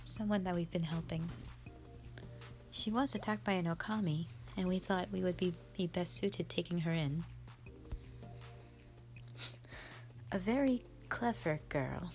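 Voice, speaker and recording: A woman playing a strict, commanding mother who leads a warrior band, speaking sternly and deliberately in a roleplay audio drama addressed to the listener. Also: fairly low pitch, a little mellow, soft background music, natural voice. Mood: measured, maternal. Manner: stern, deliberate, halting delivery with sighs.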